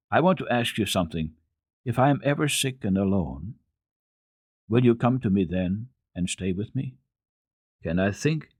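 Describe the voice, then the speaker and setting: A man voicing a tender-hearted, kindly gentleman doctor in a children's storybook reading, gentle, soothing and earnest. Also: fairly low pitch, breathy edge, dry recording, clean studio sound.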